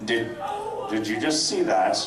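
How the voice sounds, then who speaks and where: A man's voice: mid-range voice, expressive, unhurried, over loud music, lightly acted. A man playing a grumbling, suspicious, blunt young heroine in a live comic dramatic reading. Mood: indignant. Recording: echoey convention room, distant, crowd noise.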